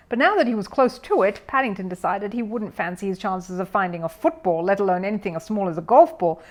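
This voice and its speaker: A woman narrating a children's storybook as a scripted reading, in the role of storyteller. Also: medium pitch. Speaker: storyteller, audiobook reader.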